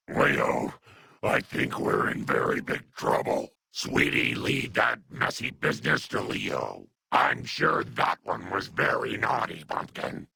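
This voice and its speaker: Guy doing a muddled old monster grandma for a video game, super raspy growl, slow and doting but kinda scary. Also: lowish voice, pushed and bright, no echo, heavily acted. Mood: muddled, worried. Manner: doting endearments, slow crooning delivery. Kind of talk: video game character dialogue.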